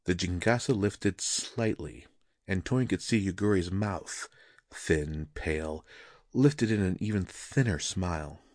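A man's voice: sits low, measured pace, a little mellow, dry recording, clean studio sound. A man reading a scripted fantasy story as a dramatic narrator.

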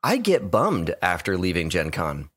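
A man hosting a gaming podcast in casual chat, lively and quick to laugh. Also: low-pitched. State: recovering from a sore throat. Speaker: podcast host.

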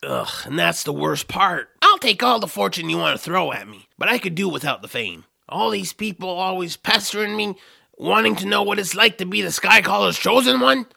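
A man's voice: high voice, lively intonation, moderate pace, creaky, bright, forceful tone, dry recording, exaggerated voice. A man voicing a grumpy, reclusive troll with an exasperated, grumbling manner in a family audio drama. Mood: weary, complaining. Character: grumpy, reclusive male troll, food-obsessed reluctant hero.

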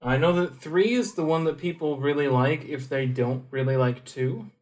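A man's voice: on the high side, moderate pace, darkish tone. A man co-hosting a podcast, chatting casually with dry jokes and frequent laughter. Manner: dry, joking, laughs often. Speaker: podcast co-host. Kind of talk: casual chat.

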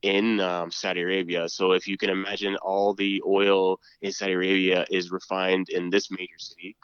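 A man answering questions as a podcast guest, his voice sitting a little low. He speaks hesitantly, restarting phrases and filling gaps with "uh", and laughs easily. The recording sounds dull and lo-fi. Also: monotone, normal speed, a bit bright, smooth, no room sound. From Canada. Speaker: podcast guest, student council member. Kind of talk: casual interview chat.

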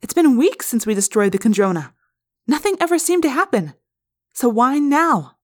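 A woman's voice: high voice, very animated, moderate pace, voice pushed up. A woman voicing a worried, questioning teenage girl in an audiobook. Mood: puzzled, insistent. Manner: insistent, questioning.

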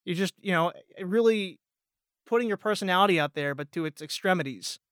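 A man's voice: high-pitched, fairly quick, fairly bright tone, clear, resonant tone, dry recording, clean studio sound. An American man from Pennsylvania chatting casually as a guest voice actor, rambling and self-deprecating. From Pennsylvania, United States. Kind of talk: casual chat.

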